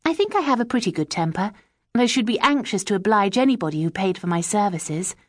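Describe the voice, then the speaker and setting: A woman voicing a lively, proud young woman of modest means, speaking eagerly and with spirit. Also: mid-range voice, fast, no room sound, clean studio sound, voice pushed up, close to an everyday voice.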